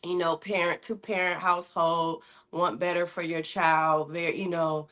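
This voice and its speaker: A woman's voice: fairly low pitch, level tone, normal speed, fairly bright tone. A woman from Michigan, talking candidly as a guest in a casual interview, slipping into slang. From Michigan, USA. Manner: candid, conversational, drops into slang. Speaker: guest, singer-songwriter.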